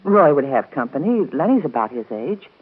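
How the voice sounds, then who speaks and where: An actress playing a gentle, reasonable, affectionate fiancée in a 1930s radio soap opera. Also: female voice, low-pitched, big pitch swings, normal speed, breathy edge, full character voice. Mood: affectionate, reassuring. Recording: tinny, band-limited 1930s radio broadcast.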